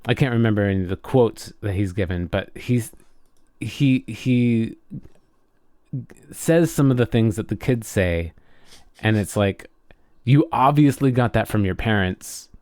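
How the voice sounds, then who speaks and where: A man chatting casually and reflectively as a podcast co-host, often halting as he searches for words. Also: lowish voice, lively intonation, a little mellow, no echo, clean studio sound.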